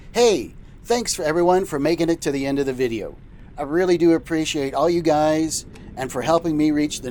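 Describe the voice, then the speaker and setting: A man's voice: on the high side, a little mellow. A man hosting a semi-scripted trucker show in informal, casual chat, with faint vehicle noise behind him. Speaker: host playing a trucker captain in his own show.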